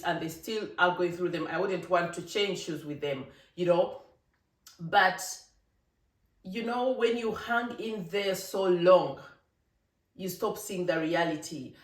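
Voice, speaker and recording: A vlogger from Africa, giving an emphatic, animated opinion monologue with laughing asides. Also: medium pitch. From East Africa. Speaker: vlogger, channel host. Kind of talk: casual opinion monologue to camera. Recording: roomy, distant from the mic.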